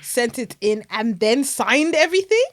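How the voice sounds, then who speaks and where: A woman's voice: fairly high pitch, normal speed. A woman from Zimbabwe, co-hosting a podcast and giving casual, outspoken advice. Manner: animated and outspoken, passionate. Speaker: podcast co-host.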